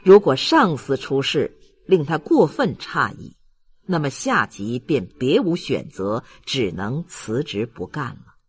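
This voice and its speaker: Slow, expressive male audiobook narrator. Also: medium pitch, slightly rough. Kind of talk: scripted literary narration.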